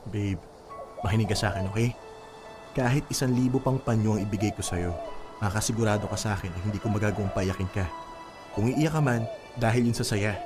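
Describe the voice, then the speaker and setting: Young Filipino boyfriend for radio drama, played by an actor: fast-talking, coaxing, patient yet exasperated, music underneath. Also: male voice, on the low side, natural voice. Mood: tender, coaxing. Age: young adult.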